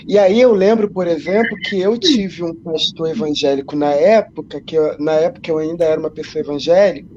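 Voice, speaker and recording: A Brazilian man telling a story in a halting way, restarting often. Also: a little high, darkish tone, highs cut off, slight background noise. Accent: Brazilian Portuguese. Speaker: panelist. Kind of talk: discussion.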